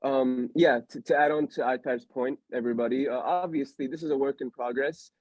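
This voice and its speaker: A man's voice: medium pitch, a little mellow, no echo. Slangy young man, dull dry sound. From Allentown, Pennsylvania. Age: young adult. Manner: rambling, slangy, many fillers. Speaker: podcast host. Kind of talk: casual chat.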